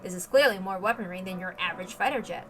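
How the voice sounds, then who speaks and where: A woman's voice: medium pitch, fast, a bit bright. A woman reading a story aloud as dramatic scripted narration, with emphatic, theatrical delivery. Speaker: reader of a visual novel.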